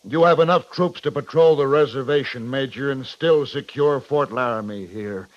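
An American actor playing a headstrong, blunt cavalry captain with clipped military formality, in a 1950s radio western. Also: male voice, mid-range voice, moderate pace, natural voice. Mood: urgent, insistent. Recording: dull lo-fi 1950s radio recording.

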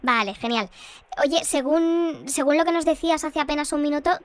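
Actress as high-voiced, polite bulletin presenter. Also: female voice, normal speed, lightly acted. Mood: polite, composed. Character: polite, composed young female news bulletin presenter. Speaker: news presenter. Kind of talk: scripted bulletin presenting in an audio fiction.

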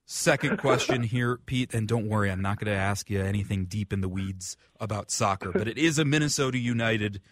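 Male American sports show host, fairly low register, conversational question-setter, dry studio-style sound. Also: fairly quick, hardly any pauses, dry recording. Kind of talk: conversational sports talk, posing questions to panelists.

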